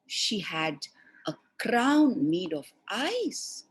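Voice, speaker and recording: A woman telling a fairy tale to children in an expressive style, with deliberate, dramatic pauses between short phrases. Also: mid-range voice, breathy edge. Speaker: storyteller for children.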